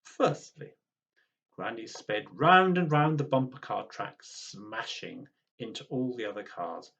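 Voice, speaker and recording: A man reading a children's storybook aloud in an expressive, dramatic way. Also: medium pitch, slow pace, vocal fry, dull audio. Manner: animated, dramatic.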